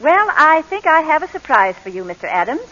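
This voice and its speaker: An actress playing a brisk, courteous but firm middle-aged orphanage inspector in a 1940s radio drama. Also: female voice, high-pitched, very animated, bright, forceful tone, full character voice. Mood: gently persuasive. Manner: composed, polite, businesslike. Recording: dull, lo-fi 1940s radio recording.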